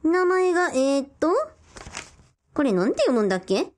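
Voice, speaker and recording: Very high, girlish quirky little sister, a woman acting. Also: sing-song, normal speed, darkish tone. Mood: flustered. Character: quirky young woman, pompous yet flustered younger sister. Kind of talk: radio drama acting.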